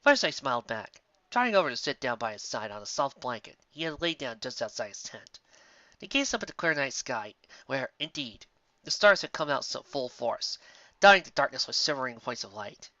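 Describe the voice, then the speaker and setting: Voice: medium pitch, very animated, a little fast, bright, forceful tone, no echo, lo-fi sound. A narrator reading a scripted story expressively. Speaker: narrator, fan fiction reader.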